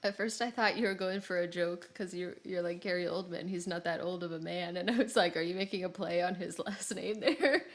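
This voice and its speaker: A woman's voice: fairly low pitch, a little fast, few pauses. Casual giggly woman podcaster. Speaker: podcast host. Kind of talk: casual gaming podcast chat.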